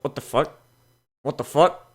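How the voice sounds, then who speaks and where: A man hosting casual, mocking commentary and dramatic readings, crude, exclamatory and quick to swear. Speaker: host reading fan characters aloud.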